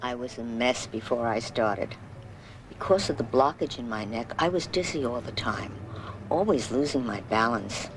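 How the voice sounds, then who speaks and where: A man's voice: mid-range voice, normal speed, background noise, natural voice. A man playing a relieved patient giving a plain testimonial in a scripted dramatization. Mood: earnest, relieved. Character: patient describing past dizziness and leg cramps, now relieved.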